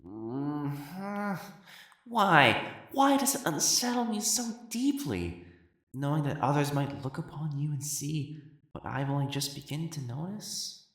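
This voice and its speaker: A man playing an elegant, proper matchmaker in a dramatic audio roleplay, speaking formally and haltingly as he hides his jealousy. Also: on the high side, sing-song, fairly bright tone, breathy edge, exaggerated voice. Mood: measured, assessing, then unsettled longing. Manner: formal, halting, stammering when flustered.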